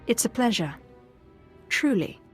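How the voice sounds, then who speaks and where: An actress playing a composed, courteous Sith apprentice in a video game, with a poised, measured manner. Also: female voice, fairly high pitch, big pitch swings, husky, soft background music, heavily acted. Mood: polite.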